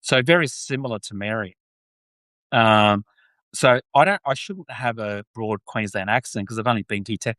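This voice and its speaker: A man's voice: lowish voice, normal speed, a bit bright, no room sound. A man with an Australian accent hosting a casual live podcast chat, chatty, with lots of ums and restarts. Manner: chatty, frequent ums and self-corrections. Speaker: podcast host.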